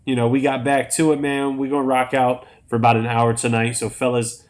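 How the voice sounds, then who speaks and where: A man's voice: mid-range voice, flat delivery, a little fast. Crackly-voiced American man hosting sports talk. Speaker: host of a live sports show. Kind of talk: casual sports talk on a livestream.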